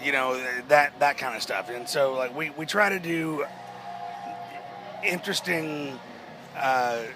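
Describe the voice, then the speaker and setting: A man with a naturally hoarse voice answers interview questions at a festival, taking his time. He talks in a halting way, restarting sentences often. Faint music plays in the background. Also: on the high side. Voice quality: hoarse-sounding. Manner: slow, halting, many restarts and fillers. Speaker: rock band member.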